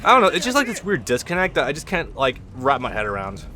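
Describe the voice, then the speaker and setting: A man hosting a let's play from his home computer, rambling frankly and swearing freely in a loud, projecting voice. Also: mid-range voice, wildly swooping pitch, fast talker, soft background music. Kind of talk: casual gameplay commentary. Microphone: computer recording mic.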